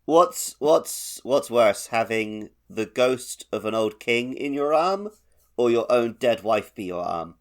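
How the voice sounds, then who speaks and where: A man's voice: medium pitch, expressive, takes it slowly. A man co-hosting a video game podcast, talking things over in casual chat. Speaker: podcast co-host.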